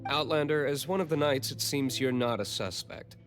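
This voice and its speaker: A man playing a stern, cynical young tavern owner in a video game, speaking in a measured, blunt way. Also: medium pitch, over music, natural voice. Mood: cool, appraising. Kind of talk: scripted game voice acting.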